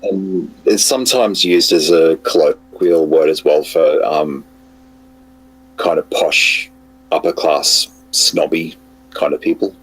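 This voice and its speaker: A very deep-voiced man speaks through a husky, hoarse throat from a cold. He talks casually on a home call, hesitating and restarting his sentences often. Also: takes it slowly, faint music behind, poor audio. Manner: hesitant, many fillers and restarts. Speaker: guest. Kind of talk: casual online chat.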